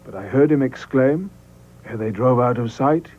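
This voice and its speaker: A man giving a dramatic, expressive storybook recital of a poem, savoring each phrase. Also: medium pitch, moderate pace. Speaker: poetry reader. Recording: muffled 1980s television recording.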